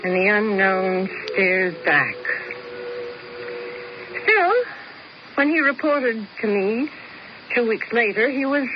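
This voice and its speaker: A woman's voice: mid-range voice, expressive, slow, long pauses, muffled recording. An actress playing a cool, scheming woman who narrates a 1970s radio drama. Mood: brooding. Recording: hissy old radio broadcast.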